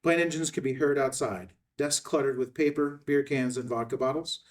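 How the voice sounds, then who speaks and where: A man with a mid-range voice reads out screenplay directions as narrator. His phrases are measured and clipped, dropping at their ends, and he sounds a little away from the microphone. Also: mid-range voice, recorded from a distance.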